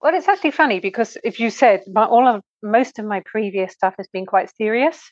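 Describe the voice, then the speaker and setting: A woman's voice: fairly high pitch, a little fast, no echo. A middle-aged woman author giving a conversational interview, thoughtful and informal, on a lo-fi recording. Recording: dull, lo-fi remote sound.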